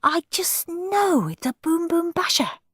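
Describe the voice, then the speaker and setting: Lady putting on a high excited little boy voice, sneaky and greedy, dry close sound. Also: slow, no room sound, full character voice. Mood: scheming. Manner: excited, scheming. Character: mischievous greedy young boy.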